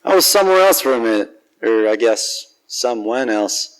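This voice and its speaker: An actor playing a cocky, wisecracking old-time private detective in a noir radio drama, wry and swaggering. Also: male voice, mid-range voice, animated, moderate pace, lightly acted, low-quality recording. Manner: smooth-talking, wry, swaggering. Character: cocky, wisecracking 1940s hard-boiled male private detective.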